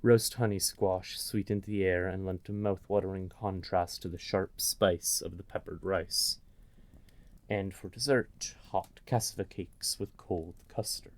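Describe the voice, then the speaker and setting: Deep, slow-paced male storyteller. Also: steady tone. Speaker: narrator. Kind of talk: scripted fantasy story narration.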